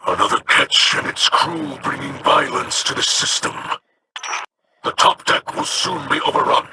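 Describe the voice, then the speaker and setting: A man voicing a grave, weary alien pirate captain in a video game, with a gravelly, formal delivery. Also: medium pitch, fairly even intonation, unhurried, close to an everyday voice. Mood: solemn. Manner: solemn, formal. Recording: noisy game audio.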